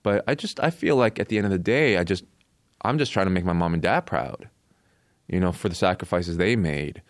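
A man with a Canadian accent telling a personal story casually as an interview guest, in short halting phrases. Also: low voice, animated, dry recording, studio quality. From born in Korea, raised in Canada.

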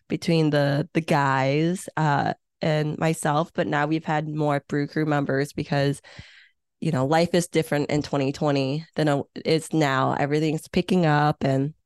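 A woman's voice: deep voice, a little mellow, smooth, dry recording, studio quality. A woman from Hong Kong, an event planner, talking in an upbeat, reflective way with frequent filler phrases as a podcast guest. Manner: upbeat, reflective, many "you know" fillers. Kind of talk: casual interview talk.

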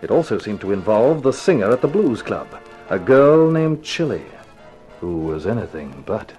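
Low-voiced, wisecracking hard-boiled private eye. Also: male voice, big pitch swings, moderate pace. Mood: wry, terse. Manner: wry, slangy, clipped delivery. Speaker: actor and first-person narrator. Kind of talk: 1950s radio detective drama acting and narration. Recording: 1950s radio recording with music behind.

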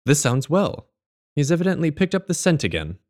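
An actor playing a courteous, brisk gentleman doctor, a detective's companion, in an audio drama. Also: on the high side, sing-song. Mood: brisk, eager.

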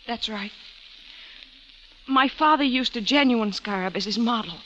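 Bright, earnest young woman. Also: on the high side, a little slow. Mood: earnest, regretful. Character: earnest, defiant young woman, potter's daughter under suspicion. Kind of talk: old-time radio drama acting. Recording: muffled vintage radio recording, faint noise.

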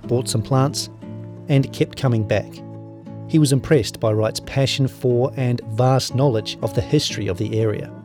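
A man's voice: mid-range voice, darkish tone. A man with a New Zealand accent, speaking as a journalist. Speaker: journalist reading his own story.

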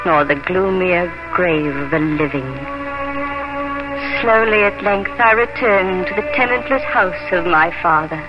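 A woman acts a gentle, anxious young bride with poetic, theatrical delivery. It is a dull 1950s radio drama recording, with loud music behind her. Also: fairly low pitch, a little slow, natural voice. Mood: mournful.

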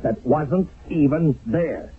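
An American actor playing a stern, brusque army captain in a radio drama, clipped, authoritative and condescending. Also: male voice, on the high side, slow pace. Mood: angry, sardonic. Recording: phone-like lo-fi radio broadcast.

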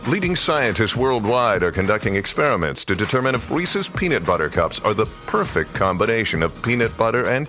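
Bright, lively man reading a spoof ad. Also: medium pitch. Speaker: ad announcer. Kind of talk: mock news ad read.

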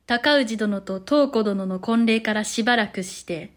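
A woman's voice: fairly high pitch, unhurried, soft background music, lightly acted. A woman delivering polite scripted narration for a Japanese historical audio drama.

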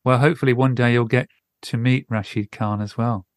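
A man with a smooth, clear voice in a middle register hosts a podcast interview. He talks conversationally, and the sound is dry with little room in it. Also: mid-range voice, steady tone, brisk, no room sound.

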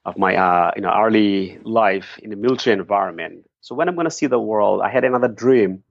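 A man's voice: on the low side, a little fast, gravelly, no room sound. A middle-aged man from Bangladesh, telling his story earnestly as an interview guest. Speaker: guest, nonprofit founder. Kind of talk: interview answers. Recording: dry, slightly muffled lo-fi sound.

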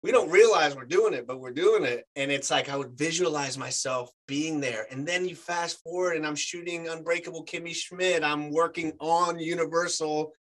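Animated man, native Spanish speaker. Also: on the high side, normal speed, hardly any pauses. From United States. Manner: animated storyteller, many fillers. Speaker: actor guest. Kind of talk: casual chat.

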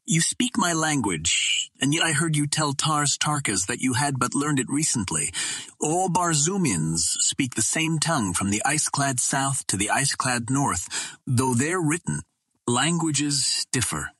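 Man voicing a formal, husky Martian princess, raised pitch. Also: sing-song, measured pace, fairly bright tone, dry recording, heavily acted. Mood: curious, puzzled. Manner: formal, imploring, archaic phrasing. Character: proud, pleading young Martian princess. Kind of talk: audiobook character reading.

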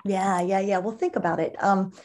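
A woman's voice: medium pitch, fairly even intonation, moderate pace, darkish tone. A woman speaking as an interview guest, explaining things conversationally and enthusiastically, repeating words for emphasis. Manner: emphatic word repetition. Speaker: interview guest, marketing executive.

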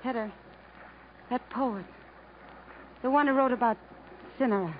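A woman's voice: fairly high pitch, unhurried, lightly acted. A woman acting in a 1950s radio drama, playing a subdued, wistful, resigned woman with a dreamy, restrained way of talking. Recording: muffled, noisy 1950s radio broadcast.

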